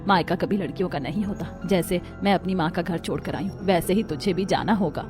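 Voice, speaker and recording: A woman plays a meek, long-suffering mother in a cartoon story. She speaks softly and submissively, resigned, over background music. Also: lowish voice, fairly quick, lightly acted. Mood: sad, resigned.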